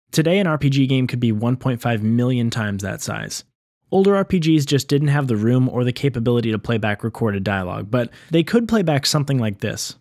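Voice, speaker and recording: A man hosting an explainer video, reading scripted narration in a clear teaching structure. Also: mid-range voice, a little mellow, dry recording, clean studio sound. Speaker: video essay host on game sound design.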